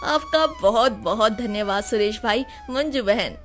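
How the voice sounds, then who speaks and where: A woman's voice: on the high side, unhurried, soft background music, natural voice. A woman voicing a humble, lonely bachelor with an effusive, plaintive way of talking, in a moral cartoon story. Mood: delighted, grateful. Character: Popatlal, lonely self-pitying bachelor, humble younger man.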